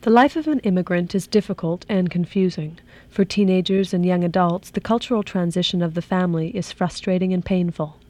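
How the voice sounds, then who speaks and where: A woman reporter reading scripted radio documentary narration in a steady, informative way. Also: fairly low pitch, a little fast, few pauses. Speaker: program host and reporter.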